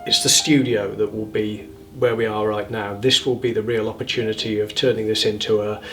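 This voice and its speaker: A man's voice: lowish voice, little pitch movement, brisk, a bit bright, distant mic, faint music behind. A man talking informally and enthusiastically in an interview, repeating words for emphasis. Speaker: theatre member interviewed.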